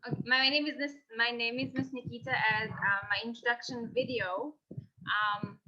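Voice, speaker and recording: A young woman from South Africa greets a class over a laptop video call from her kitchen. Her voice is high and bright, with a slightly dull, muffled call sound. She talks in a friendly way with frequent pauses. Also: fairly even intonation. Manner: warm greeting, many "um" pauses. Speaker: language facilitator. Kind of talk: friendly self-introduction. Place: kitchen indoors. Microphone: laptop webcam on a video call.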